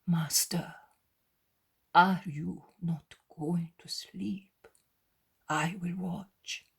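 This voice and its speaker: A woman's voice: on the low side, fairly even intonation, drawn-out words, creaky, husky, heavily acted. A woman reading the lines of a devoted male disciple, pleading in a whisper. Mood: anxious.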